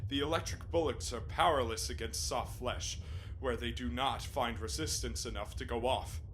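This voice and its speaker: A man playing a commanding, grim submarine captain in a radio play, with formal, deliberate speech. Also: medium pitch, fairly bright tone, slight background noise, close to an everyday voice. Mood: grim, resolute.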